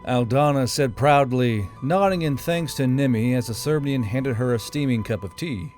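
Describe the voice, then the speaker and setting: A man's voice: medium pitch, moderate pace, darkish tone. A man narrating a fantasy audiobook in a scripted storytelling style. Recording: music behind the voice.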